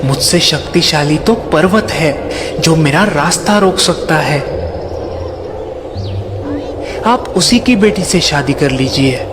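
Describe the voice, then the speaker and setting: A performer voicing a polite, flattering cloud or wind spirit in a children's cartoon, courteous and deferential. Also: medium pitch, a little slow, natural voice. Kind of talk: children's cartoon story acting.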